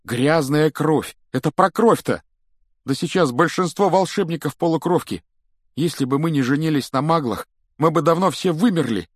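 A man's voice: a little high, normal speed, no echo, clean studio sound, pitched above the performer's own voice, natural voice. A man voicing a hot-tempered, loyal schoolboy wizard for a storybook, exclaiming emphatically with indignation. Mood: outraged.

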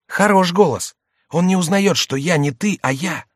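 A man's voice: sits high, moderate pace, dry recording, studio quality, voice pushed up. A man voicing a sly, mocking French court jester in a theatrical, exclamatory audiobook read. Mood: gleeful. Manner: theatrical, exclamatory, mock-plaintive.

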